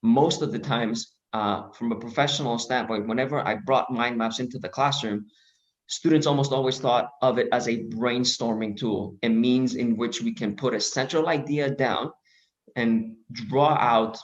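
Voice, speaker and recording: A man's voice: mid-range voice, dull audio. Greek-born man giving a video-call talk. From Greece. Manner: measured, many fillers and restarts. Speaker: presenter. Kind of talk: online presentation.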